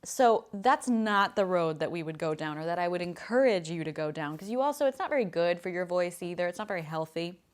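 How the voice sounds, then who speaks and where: A woman's voice: lowish voice, expressive, brisk, hardly any pauses. A woman voice coach explaining a question in a friendly, thoughtful and plain-spoken way. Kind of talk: casual explanatory lesson to camera.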